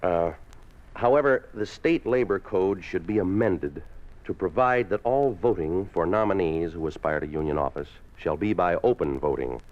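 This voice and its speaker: An American actor playing a cold, authoritarian party boss in a 1950s radio drama, clipped and commanding, with measured delivery. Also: male voice, low-pitched, natural voice. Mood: coldly dictating. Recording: muffled, noisy 1950s radio recording.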